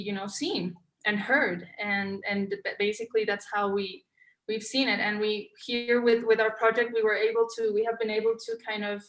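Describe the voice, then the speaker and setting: A woman's voice: mid-range voice, fairly bright tone. Hesitant Colombian woman in an echoey room. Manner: hesitant, many "um" fillers, searching for words. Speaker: podcast guest, co-founder. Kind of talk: casual interview talk.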